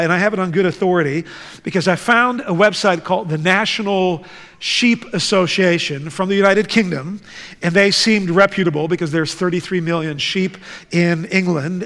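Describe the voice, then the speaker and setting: A man who grew up in the Netherlands, preaching a sermon and reading scripture in a slow, deliberate way. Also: sits high, a bit bright. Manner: slow, deliberate, measured delivery. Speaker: guest preacher. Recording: reverberant church hall.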